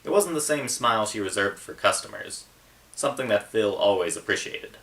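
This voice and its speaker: Bright-voiced man, recorded at a distance. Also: fairly low pitch, expressive, fairly quick. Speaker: author reading from own book. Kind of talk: casual book intro, then narrated reading.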